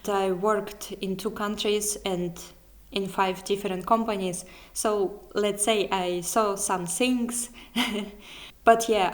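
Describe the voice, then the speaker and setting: Young woman vlogger, mid pitch, just chatting casually and correcting herself. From Kazakhstan. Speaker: vlogger, software developer. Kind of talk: casual chat to viewers.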